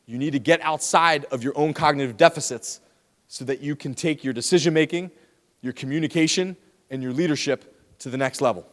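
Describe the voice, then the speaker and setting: A man giving a lively, teasing lecture to students in a large hall, speaking into a handheld microphone. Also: a little high, fairly quick. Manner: lively, teasing, talks straight to the audience. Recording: long hall reverb.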